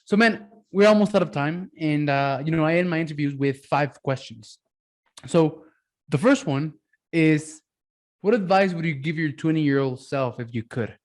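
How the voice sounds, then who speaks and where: A man's voice: a little high, normal speed. Young man hosting, affirming and casual. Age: 20s. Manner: affirming, says "yeah, man" a lot. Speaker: podcast host. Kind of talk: casual interview talk.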